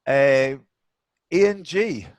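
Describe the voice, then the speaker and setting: A man's voice: medium pitch, drawn-out words, dry recording. A man hosting a live online quiz off the cuff, with chatty, teasing banter. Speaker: quiz host. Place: indoors, online video call.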